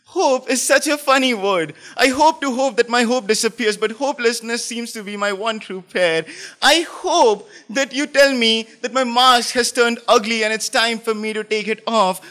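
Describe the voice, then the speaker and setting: A man performing dramatic spoken word poetry with intensity, moving between hushed lines and forceful ones in deliberate phrases. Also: very high voice.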